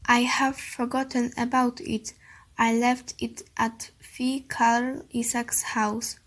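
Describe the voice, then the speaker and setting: Forgetful, unbothered errand-runner played by a schoolgirl in a radio play; highish, even pitch. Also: slow pace. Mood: sheepish. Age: child or teenager.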